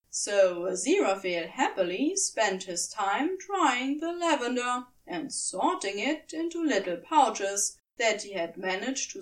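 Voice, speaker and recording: A woman narrates a story at an unhurried pace in a fairly high, very animated voice. Her reading is measured and expressive, like an audiobook, with the mic a little distant. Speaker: podfic narrator.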